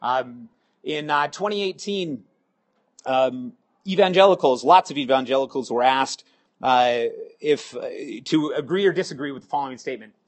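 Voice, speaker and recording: A middle-aged man with a cold, sounding hoarse, giving a halting sermon full of ums and uhs. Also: medium pitch. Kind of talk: sermon with scripture reading.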